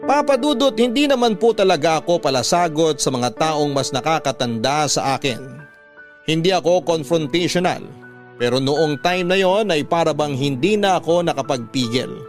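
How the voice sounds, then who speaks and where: A man's voice: fairly high pitch, expressive, normal speed, soft background music. A Filipino man narrating a letter as scripted first-person storytelling in classic radio style.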